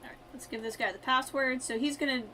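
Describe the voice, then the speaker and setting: High-voiced woman streamer thinking aloud. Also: steady tone, fast talker, sharp tone, slight background noise. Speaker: game streamer. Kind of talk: casual live gameplay commentary.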